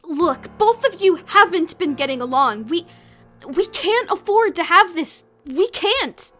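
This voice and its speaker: A woman's voice: very high-pitched, lively intonation, unhurried, a bit bright, faint music behind, heavily acted. A woman playing an anxious, earnest girl in an audio drama, pleading and urgent. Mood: urgent, worried. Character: anxious, earnest girl trying to stop a fight.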